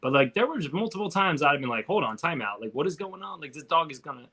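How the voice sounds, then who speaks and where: A man's voice: fairly high pitch, lively intonation, quick pace, sharp tone, slightly rough. A man giving his opinions as a panelist in a casual movie discussion. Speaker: film podcast panelist.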